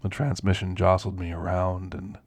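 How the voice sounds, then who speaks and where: A man playing a kindly elderly werewolf in an ASMR roleplay, with a gravelly voice and a folksy, gently chatty manner. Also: sits low, level tone, moderate pace, dry recording. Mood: gentle, friendly. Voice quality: gravelly, creaky. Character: kindly, frail elderly male werewolf, teasing and grandfatherly. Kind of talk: ASMR roleplay, intimate character monologue.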